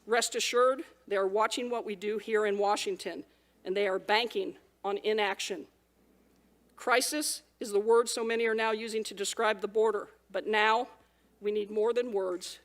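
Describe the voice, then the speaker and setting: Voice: medium pitch, fairly even intonation, normal speed. An official who oversees border agents, giving a firm, urgent prepared speech.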